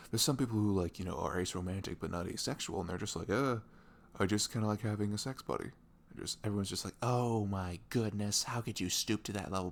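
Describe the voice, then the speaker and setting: A man plays a sweet young boyfriend in an intimate ASMR roleplay. His low voice is velvety but husky, sinking into creaky fry. He rambles informally and playfully, with pitch that moves around freely. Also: a little hiss or hum. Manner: chuckling, informal, loosely rambling.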